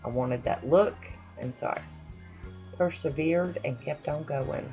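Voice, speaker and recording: A woman's voice: low voice, unhurried, warm tone, highs cut off, soft background music. A woman chatting casually over her own nail painting, self-deprecating with friendly asides. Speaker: nail art vlogger. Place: home manicure station, voice-over.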